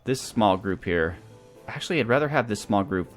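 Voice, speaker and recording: Low-voiced man commentating a strategy game. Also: lively intonation, normal speed. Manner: talkative, thinking aloud. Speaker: gaming channel host. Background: video game music.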